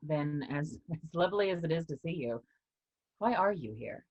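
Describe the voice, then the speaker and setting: Woman doing a weary guarded lady professor in a play, deep husky voice, measured, muffled lofi audio. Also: animated, a little mellow, dry recording. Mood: dismissive. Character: guarded female professor, haunted, reluctant, weary. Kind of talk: staged play reading. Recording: dull, low-fidelity sound.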